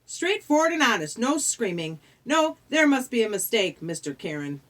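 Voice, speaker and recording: Woman acting as ferryman of the dead, British accent, smug, greedy, smooth drawl, very animated intonation, storybook villainy. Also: on the high side, moderate pace, voice pushed up. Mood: dryly amused. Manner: smooth, condescending, drawling over words. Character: tall elegant ferryman of the dead, smug, coldly sweet, greedy. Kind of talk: audiobook character voice.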